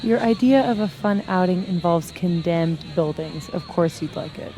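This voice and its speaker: A woman's voice: fairly low pitch, moderate pace, dark tone, faint music behind, natural voice. A woman playing a bold, sardonic, vengeful young woman in a video game, speaking with emphasis. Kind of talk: naturalistic video game acting.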